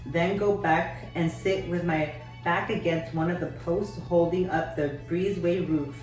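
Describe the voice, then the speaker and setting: A woman teacher reading a children's novel aloud in a measured, steady way, with occasional slips. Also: fairly low pitch. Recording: echoey room, distant mic, faint music.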